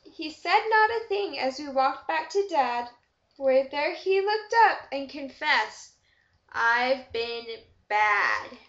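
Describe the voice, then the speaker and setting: High-voiced woman reading a storybook aloud. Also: animated, slow pace. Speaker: storybook reader. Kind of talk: expressive children's story read-aloud. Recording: dull echoey amateur room recording.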